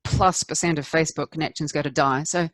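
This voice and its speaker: A woman performing a confessional stage monologue as a candid, rueful middle-aged wife, wry and self-deprecating, with deliberate emphatic pauses. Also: sits low, steady tone, dry recording, lightly acted. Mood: confiding, defensive.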